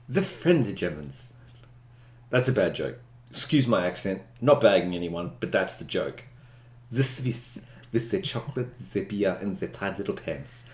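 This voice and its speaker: A man's voice: on the low side, animated, a little slow, a little mellow. A man co-hosting a casual podcast, mostly chiming in with short agreement and goofy joke riffs. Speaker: podcast co-host. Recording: roomy, off-mic echo.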